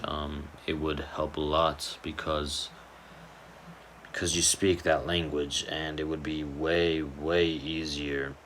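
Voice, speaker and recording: Very deep-voiced young man, casual. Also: fairly bright tone, a little hiss or hum. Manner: loose and informal, with restarts and fillers. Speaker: student speaker. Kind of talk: casual personal statement.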